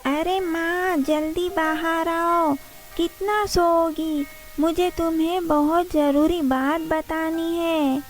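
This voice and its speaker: A woman voicing an excited, eager young boy rabbit in a children's cartoon story. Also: very high-pitched, slow pace, faint music behind, pitched above the performer's own voice.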